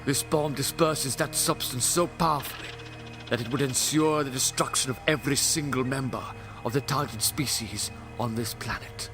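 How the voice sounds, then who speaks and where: A man's voice: medium pitch, normal speed, natural voice. A man playing an indignant, grave alien time traveller in an impassioned, declamatory audio drama style. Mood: grave warning. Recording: fan audio play under loud music.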